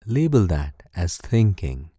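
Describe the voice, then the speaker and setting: A man leading a guided meditation in a calm, soothing, measured way. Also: sits low, slightly rough, dry recording, studio quality. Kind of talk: slow guided meditation narration.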